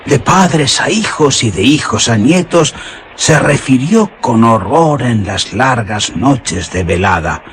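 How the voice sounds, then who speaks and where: A man's voice: medium pitch, animated, fairly bright tone, a little hiss or hum. A man playing a rustic herdsman who tells an old legend in a mysterious, suspenseful radio drama manner. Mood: eerie, grave. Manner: mysterious, drawn-out, suspenseful storytelling.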